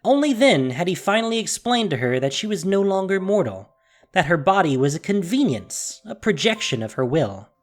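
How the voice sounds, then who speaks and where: A woman's voice: on the low side, very animated, normal speed. A woman narrating a fantasy story in a solemn, emphatic, dramatic scripted style. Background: music.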